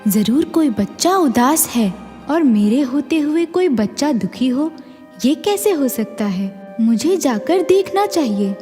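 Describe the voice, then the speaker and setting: A woman voicing a kindly, gently stern fairy guardian of children in an animated story, deliberate and caring, in long reverb with music behind. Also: high-pitched. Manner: deliberate, caring, solemn when warning.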